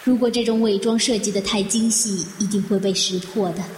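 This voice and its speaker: A woman acting a vengeful, resolute woman who narrates a mystery radio drama in a measured, deliberate way. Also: mid-range voice, fairly even intonation, noisy background, close to an everyday voice. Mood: brooding, detached. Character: vengeful, resolute woman plotting against her would-be killer. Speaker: voice actor in an amateur audio drama. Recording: echoey, long reverb, music behind. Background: background music.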